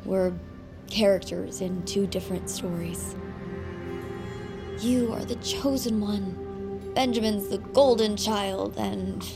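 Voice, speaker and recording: A woman's voice: medium pitch, takes it slowly, vocal fry, long pauses, over music. A bitter, fed-up woman friend. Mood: resigned, final. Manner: bitter, confrontational, emphatic. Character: hurt, fed-up female friend ending a friendship. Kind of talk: naturalistic audio drama acting.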